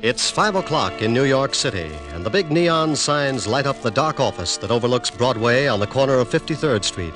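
A man's voice: mid-range voice, expressive, normal speed, few pauses. A man announcing and narrating a 1950s radio detective drama in a scripted style. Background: music under the voice.

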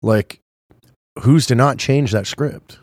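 Dude guesting on a podcast, halting, emphatic, swears, medium voice. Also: male voice, mid-range voice, no echo, clean studio sound. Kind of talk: casual chat, swearing.

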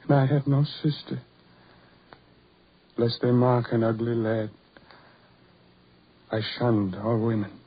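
Husky, despairing man, old radio drama. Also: mid-range voice, steady tone, slow pace, long pauses. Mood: wistful, poetic. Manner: theatrical, poetic delivery. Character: despairing, bitter, ugly man who shuns love. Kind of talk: 1940s radio horror drama acting. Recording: muffled old 1940s radio recording.

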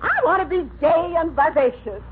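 An actress playing a romantic, dissatisfied, nagging wife in an old radio sitcom, plaintive and theatrical. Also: female voice, high voice, normal speed, natural voice. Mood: exasperated yearning. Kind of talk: 1940s-50s radio sitcom acting. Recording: tinny old radio recording, hiss.

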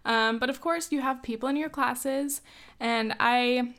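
A young woman in her twenties giving casual advice in a chatty way, with many fillers and small laughs, a little hoarse from coughing. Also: high-pitched, measured pace, fairly bright tone. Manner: chatty, lots of "like" and "um", laughs often. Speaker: advice host.

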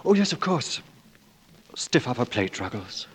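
An actor playing a vague, genial English aristocrat with an upper-class accent, stammering hesitantly in a 1940s radio comedy. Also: male voice, mid-range voice, very animated, measured pace, slightly rough. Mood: hesitant, bumbling. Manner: dithering, stammering, mumbling hesitations. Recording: noisy old 1940s radio recording.

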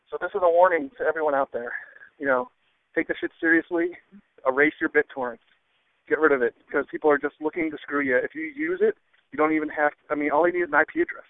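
A man calling in to a podcast over the phone, talking casually in a halting but insistent way. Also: on the high side, a little hiss or hum. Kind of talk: casual cautionary account in a voicemail. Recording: tinny, band-limited phone call.

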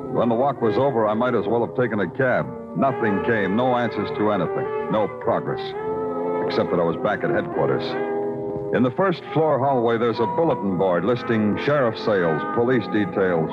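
An actor playing a sardonic, world-weary New York police detective in a 1950s radio crime drama, deadpan and clipped. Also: male voice, lowish voice, normal speed, natural voice. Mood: brooding, weary. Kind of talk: 1950s radio crime drama, first-person narration and dialogue. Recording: muffled old radio recording. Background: music.

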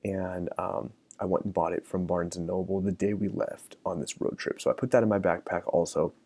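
Low-pitched man, gravelly, mellow tone, reflective podcast monologue, dry close sound. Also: fairly even intonation, fairly quick, no echo. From American Midwest. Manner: thoughtful, musing, frequent fillers and restarts. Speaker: podcast host.

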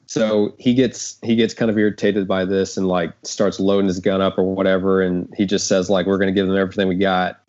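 Male podcast host, low register, casual rambling explainer, dull recording. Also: darkish tone. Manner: rambling, peppered with "like". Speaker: show writer and panel host. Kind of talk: casual discussion, explaining script changes. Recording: dull lo-fi audio.

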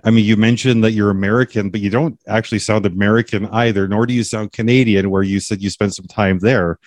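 A man's voice: on the low side, steady tone, a little fast, a little mellow, no echo. A man hosting an interview podcast, asking curious, rambling questions with frequent restarts. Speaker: podcast host. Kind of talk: casual interview chat.

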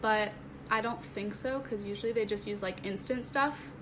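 A teenage woman talks as a podcast guest in a friendly, hesitant way, often pausing on "um." Her pitch sits in the middle range, and steady background noise is clearly heard. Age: teens. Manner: friendly, hesitant, many ums. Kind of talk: casual chat.

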